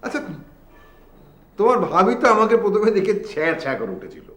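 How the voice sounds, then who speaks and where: An actor playing a coaxing, easily exasperated married brother figure in a television drama. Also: male voice, high-pitched, huge pitch swings, a little fast, a bit bright, full character voice. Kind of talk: TV drama acting. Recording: echoey room.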